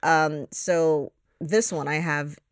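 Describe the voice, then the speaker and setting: A woman's voice: low voice, fairly even intonation, slow, no echo, studio quality. A woman co-hosting a casual film podcast, teasing and blunt, laughing often. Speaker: podcast co-host.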